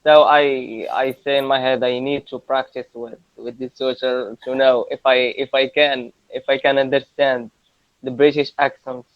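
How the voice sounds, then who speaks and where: A man in his twenties with a Moroccan accent, practising English conversation casually in halting learner phrases, often repeating words, over a lo-fi internet call. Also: mid-range voice, flat delivery, smooth, dry recording. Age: 20s. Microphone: video call. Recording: dull lo-fi internet call audio.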